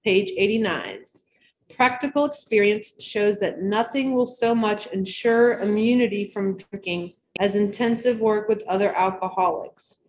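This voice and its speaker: A woman leading a meeting, reading a passage aloud in a steady, measured way. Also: mid-range voice, low-quality recording.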